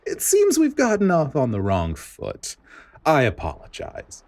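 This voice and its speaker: A man's voice: fairly high pitch, wildly swooping pitch, a little slow, darkish tone, voice pushed up, heavily acted. A man voicing a grating, condescending government director in an audiobook-style story, with dramatic, sugary fake pleasantness. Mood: sugary, wheedling.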